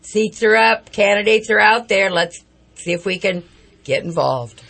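Raspy, hoarse woman co-hosting local radio. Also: medium pitch, animated, measured pace, a bit bright. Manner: emphatic, civic-minded remarks. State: hoarse from hay fever. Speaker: radio co-host.

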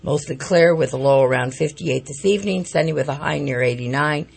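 A woman co-hosting a local morning radio show, her voice raspy and hoarse from hay fever, speaking emphatically. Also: low voice, a little fast, darkish tone. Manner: emphatic, civic-minded remarks. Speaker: radio co-host.

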